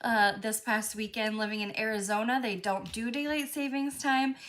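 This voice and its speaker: A woman's voice: a little high, fairly even intonation, normal speed, fairly bright tone. A woman hosting a live crafting stream, chatting casually with lots of fillers, a little stuffy from a cold. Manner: chatty, friendly, many fillers. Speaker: crafting show host.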